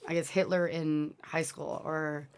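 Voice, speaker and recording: Chatty woman with a lowish voice. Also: a little slow, a little hiss or hum. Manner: chatty and expressive, full of "like" and "I mean". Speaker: co-host reacting to a video. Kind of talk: casual chat.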